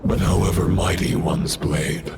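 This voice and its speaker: An actor playing a grandiose, menacing fallen knight in a video game, speaking in solemn, archaic, theatrical phrases. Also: male voice, sits low, level tone, unhurried, gravelly. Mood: brooding, bitter. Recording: loud music behind the voice.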